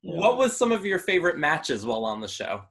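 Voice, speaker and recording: A man's voice: on the high side, big pitch swings, fairly quick, creaky, a bit bright. A man hosting an interview, asking short, friendly questions and laughing along. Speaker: host, interviewer. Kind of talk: casual interview.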